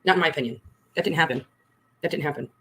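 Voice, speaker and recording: Brief: fast-talking woman commentator, forceful and accusing, hammers her points home. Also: deep voice, slightly rough. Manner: emphatic, accusatory, repeats points. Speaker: online case commentator. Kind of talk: livestream commentary.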